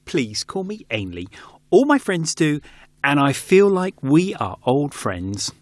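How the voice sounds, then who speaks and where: A man's voice: a little high, huge pitch swings, slow pace, no echo, heavily acted. A man playing a polite, earnest former politician turned author in an audio drama, speaking in a genteel, reflective way. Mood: gracious.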